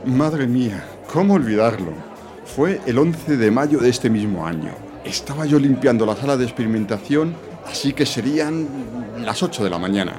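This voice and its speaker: Un hombre interpretando a un compañero de aventuras educado, ingenuo y curioso en una ficción sonora. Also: male voice, mid-range voice, expressive, normal speed, music in the background, natural voice. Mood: earnest. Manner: deferential, formal address. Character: polite, naive male sidekick, curious. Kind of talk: audio drama acting.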